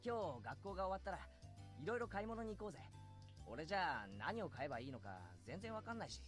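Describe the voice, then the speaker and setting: A man's voice: high voice, sing-song, rapid-fire. A man playing a cocky, loyal teenage schoolboy in a Japanese visual novel, with a brash, boyish manner. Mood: casual, teasing. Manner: brash, rough boyish wording. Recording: game dialogue over clear music.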